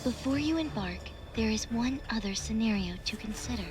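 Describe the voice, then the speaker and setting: Woman voicing solemn high-voiced female AI. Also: lively intonation, close to an everyday voice. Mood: solemn, measured. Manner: formal, measured, detached. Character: solemn, calculating young female artificial intelligence. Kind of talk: video game cutscene acting. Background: music.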